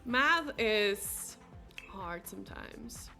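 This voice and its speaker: A woman's voice: medium pitch, lively intonation, extremely slow, creaky, bright, forceful tone. A young woman with a Slovak accent, a non-native English speaker, chatting casually with viewers as she streams a game, sprinkling her talk with "like". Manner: chatty, heavy use of "like", reads viewers' chat aloud. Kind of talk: casual chat while playing a game. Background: faint game music.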